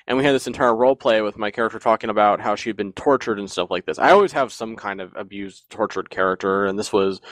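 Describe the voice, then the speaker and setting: Brief: lower-pitched man, dry close sound, animated rambling storyteller who laughs a lot. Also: dry recording. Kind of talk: casual podcast chat.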